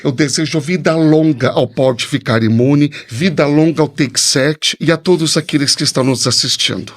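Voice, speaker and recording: A man's voice: on the high side, normal speed. A Brazilian man, a priest, giving a heartfelt, effusive and devout message with rhetorical turns as a podcast guest.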